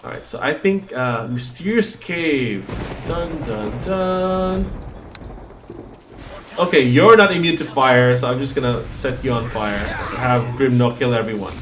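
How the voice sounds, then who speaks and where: A man's voice: medium pitch, a little slow, background noise. A man whose first language is Cebuano, chatting and joking as he streams a game and reads its text aloud. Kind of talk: let's play commentary.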